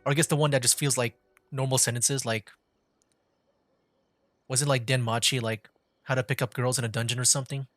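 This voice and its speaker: A man's voice: medium pitch, flat delivery, brisk, long pauses, a bit bright, no room sound, soft background music. A man giving casual live gameplay commentary as a streamer, rambling and feeling for his words. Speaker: video game streamer.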